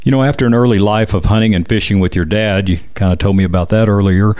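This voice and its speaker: A man's voice: lowish voice, fairly even intonation, a little mellow. A man with an American accent hosting a radio show, talking conversationally as he interviews and promotes. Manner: repeats phone numbers slowly and deliberately.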